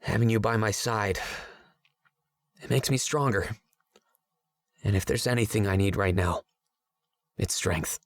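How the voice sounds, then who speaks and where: Game companion: insecure, yearning outcast, unhurried and hesitant delivery, dry studio sound. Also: sits low, no room sound. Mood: hesitant, subdued. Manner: hesitant, emotionally open, earnest. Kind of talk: visual novel character voice acting.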